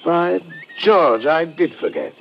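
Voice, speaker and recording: Muffled old radio serial: a proper, genial male doctor, mid-pitched with lively swoops. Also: slow pace, creaky, heavily acted. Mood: genial, surprised. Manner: polite, proper, effusive. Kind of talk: old-time radio adventure drama acting. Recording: dull old radio recording, faint noise.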